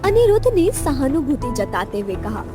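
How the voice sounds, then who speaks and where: A woman narrating a scripted romantic story as an expressive storyteller. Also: high-pitched, normal speed, soft background music.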